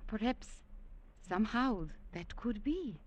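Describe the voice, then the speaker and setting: A woman's voice: fairly high pitch, expressive, slow, slight background noise. An actress playing an earnest young woman servant in a 1950s radio drama. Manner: earnest and pleading. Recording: old radio broadcast.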